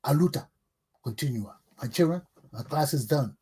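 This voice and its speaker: A man's voice: on the high side, lively intonation, moderate pace, mellow, breathy edge. A middle-aged man with a Liberian accent delivers an impassioned, combative political monologue as a talk show host, emphatic and preachy, hammering phrases through repetition.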